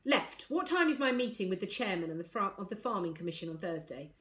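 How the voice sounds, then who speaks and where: A woman's voice: medium pitch, sing-song, fast, fairly bright tone, echoey room. Woman as a curt, pompous politician father. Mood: impatient. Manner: curt, irritable, self-important. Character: pompous, impatient politician father, middle-aged man.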